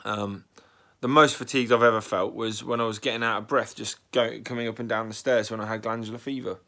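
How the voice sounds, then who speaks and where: A young man with a fairly low voice talks through his worries in a casual vlog. He sounds bunged up and a bit raspy from a cold, and clears his throat now and then as he rambles anxiously. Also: fairly even intonation, fast talker, bright, forceful tone. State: congested and slightly raspy from a cold. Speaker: vlogger.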